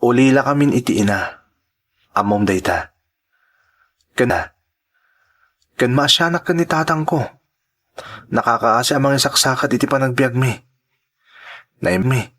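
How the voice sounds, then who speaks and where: Guy acting as a dutiful earnest young ilocano man, radio drama style, medium pitched voice. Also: animated, a little slow, long pauses, fairly bright tone. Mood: earnest, determined.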